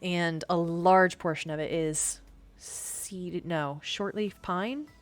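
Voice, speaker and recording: A woman's voice: lowish voice, slow pace. A woman from Missouri chatting casually while streaming a game, explaining things in rambling bursts with many restarts. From Missouri, USA. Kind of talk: casual chat while gaming.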